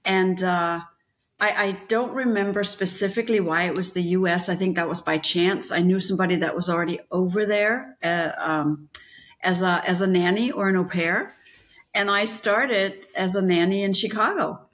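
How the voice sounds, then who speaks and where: Chatty Danish-born woman, lowish voice. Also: moderate pace, fairly bright tone. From Denmark. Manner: chatty, rambling with fillers and restarts. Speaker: guest, leadership coach. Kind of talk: casual interview chat.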